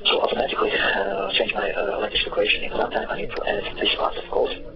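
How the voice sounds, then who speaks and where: A man from Croatia with a Croatian accent gives an unscripted, halting software tutorial as a non-native speaker. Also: high-pitched, monotone, creaky. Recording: dull, noisy, distant-sounding recording.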